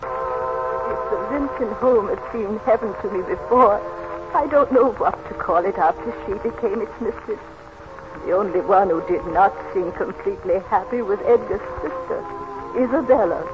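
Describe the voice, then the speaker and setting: Woman as old household servant, measured period radio narration, dull recording with music underneath. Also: medium pitch, animated, close to an everyday voice. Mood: measured, reflective. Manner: measured storytelling. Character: watchful female old family servant recounting the past. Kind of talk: 1930s radio drama narration. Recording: muffled vintage radio recording.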